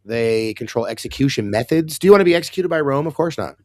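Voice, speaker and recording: A man with a hoarse, raspy voice, co-hosting a casual Bible discussion with wry, sarcastic asides. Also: mid-range voice, animated, fast talker, darkish tone. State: hoarse, recovering lost voice. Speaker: co-host.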